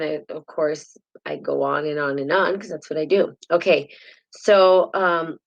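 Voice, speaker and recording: A woman hosting a live spiritual talk stream, teaching casually in a rambling way and greeting viewers by name, heard on a built-in device mic. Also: lowish voice. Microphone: built-in device mic instead of her usual mic.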